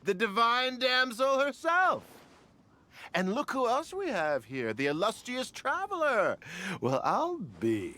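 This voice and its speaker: An actor playing a brash, nosy man in a game who is loud and overfamiliar. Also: very high-pitched, wildly swooping pitch, takes it slowly, exaggerated voice. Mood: pushy. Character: brash nosy male barfly, pushy. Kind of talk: game voice acting.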